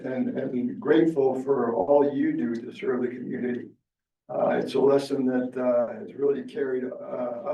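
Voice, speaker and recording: Halting, gravelly-voiced man in an echoey room. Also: mid-range voice, lo-fi sound. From Egypt. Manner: earnest and halting, many pauses and fillers. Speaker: guest speaker, business owner. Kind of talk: reflective motivational talk. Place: meeting room. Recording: echoey room, far from the mic.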